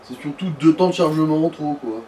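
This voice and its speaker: A man's voice: fairly high pitch, a little fast, darkish tone. A man co-commentating a game in casual chat, with a dry, teasing manner. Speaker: let's play co-commentator. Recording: distant mic, faint background noise.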